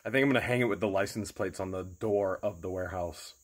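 Excitable man exploring a warehouse. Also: deep voice, fast. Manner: enthusiastic, exclaims often, thinks aloud. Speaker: vlogger picking for resale. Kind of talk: excited running commentary while exploring. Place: large cluttered indoor warehouse.

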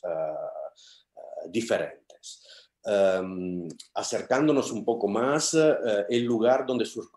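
Guy in his 50s lecturing on a webcam, really low voice, lots of ehs, roomy echo. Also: a little mellow. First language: Italian. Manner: hesitant, many fillers and restarts. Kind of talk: academic lecture over video call. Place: home study with bookshelves. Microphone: video call webcam.

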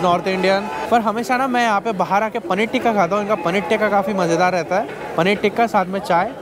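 A man, narrating a casual travel vlog into a handheld camera. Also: high voice. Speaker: travel vlogger, host. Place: out of doors at night and aboard a bus.

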